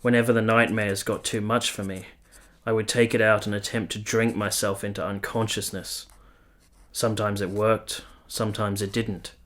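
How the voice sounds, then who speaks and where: A man playing an earnest, gentle soldier in a period radio play, speaking in a measured, literary way. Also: fairly low pitch, little pitch movement, slight background noise, close to an everyday voice. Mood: sombre, haunted. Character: earnest, gentle young soldier narrating his own story. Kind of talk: first-person dramatic narration in a radio play.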